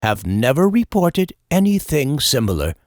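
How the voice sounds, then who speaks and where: A man's voice: on the high side, sing-song, normal speed, a little mellow, no echo, studio quality. A man reading a scripted first-person scary story as a storyteller, in a dramatic, suspenseful manner.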